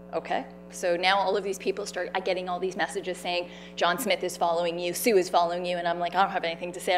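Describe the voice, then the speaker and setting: A woman tells her own story at an event, in an animated, conversational way. She has a loud voice and acts out the people in her story. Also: lowish voice, a little hiss or hum. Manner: animated, conversational, with fillers and quoted dialogue. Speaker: speaker sharing her story. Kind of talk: personal storytelling talk. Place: event stage.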